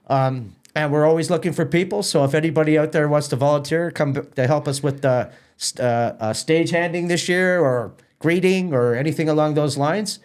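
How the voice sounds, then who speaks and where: A man's voice: a little high, moderate pace, clear, resonant tone. A man chatting casually as an interview guest and festival organiser, informal, often repeating words and doubling back. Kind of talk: casual promotional interview chat.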